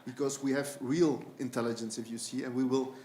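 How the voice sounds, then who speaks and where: A man's voice: medium pitch, fairly even intonation, fairly quick, mellow. A man in the audience asking a long, rambling question, repeating "in fact". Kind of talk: question from the floor. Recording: roomy hall sound.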